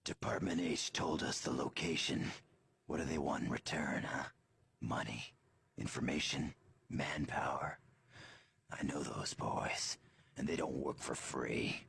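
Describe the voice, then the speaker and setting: An actor playing a gruff, suspicious tough-guy hero in a video game, blunt and surly with a gravelly rasp. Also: rumbling low, measured pace, a bit bright, exaggerated voice. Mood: distrustful, gruff. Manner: blunt, folksy tough talk.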